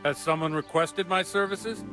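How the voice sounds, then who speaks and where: An actor playing a worldly, genial priest in a medieval video game with animated delivery. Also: male voice, sits high, normal speed, over music, lightly acted. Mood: amused, obliging.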